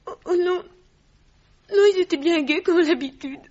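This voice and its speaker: A woman's voice: extremely high pitch, normal speed, a little mellow. A woman playing a frightened, tearful eighteen-year-old village housemaid in a French radio drama, plaintive and deferential. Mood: shaken, respectful. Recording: dull lo-fi radio recording.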